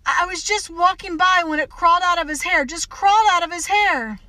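A woman's voice: high-pitched, expressive, normal speed, bright, forceful tone, no echo. A woman voicing a prim, squeamish schoolteacher in an audiobook story, proper and fussy in manner. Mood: distraught.

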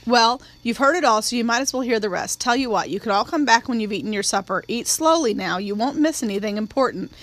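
A woman doing a weary, measured lawyer father. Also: fairly high pitch, expressive, fairly bright tone. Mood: relenting. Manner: measured, clipped. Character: weary calm lawyer father, middle-aged man.

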